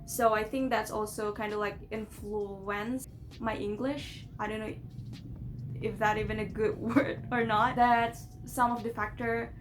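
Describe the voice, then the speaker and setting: A woman's voice: mid-range voice, level tone, unhurried, music in the background. Chatty Indonesian-accented young woman. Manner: chatty, giggly, many fillers like "like" and "you know". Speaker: vlogger. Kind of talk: casual chat to camera.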